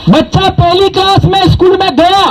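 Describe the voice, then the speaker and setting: High-voiced man giving a rousing speech. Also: fairly even intonation, normal speed, a little hiss or hum. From southern Rajasthan, India. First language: Bhili. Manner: emphatic, quizzes the crowd with rhetorical questions. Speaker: community speaker at a public gathering. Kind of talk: public speech to an audience. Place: stage at a public event.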